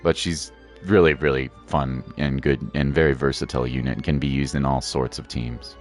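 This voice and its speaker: A man's voice: very deep voice, fairly even intonation, moderate pace, faint music behind. A man streaming a game and chatting casually with viewers, slangy and reassuring, repeating his points for emphasis. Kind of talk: casual livestream chat with viewers.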